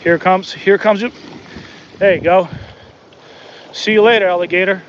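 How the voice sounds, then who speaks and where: A high-voiced man talks into his phone on a windy beach, chatting away to his viewers and to the fish he catches. The sound is a little dull, with faint wind behind him. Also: fairly even intonation, normal speed. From Soviet Union. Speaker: fishing livestream host. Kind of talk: casual live commentary while fishing. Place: outdoors on a beach by the water.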